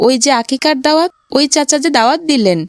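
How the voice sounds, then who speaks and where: A woman's voice: high voice, lively intonation, quick pace, no room sound, studio quality. A woman voicing an eager, polite, inquisitive young boy in a moral cartoon. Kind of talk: cartoon voice acting in moral story.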